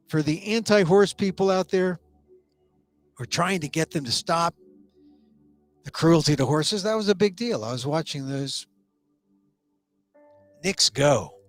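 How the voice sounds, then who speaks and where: Middle-aged male talk-show host, hoarse and scratchy, slightly higher voice, rambling opinion piece, soft music under him. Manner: opinionated, loose rambling commentary with restarts. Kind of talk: live online talk show commentary.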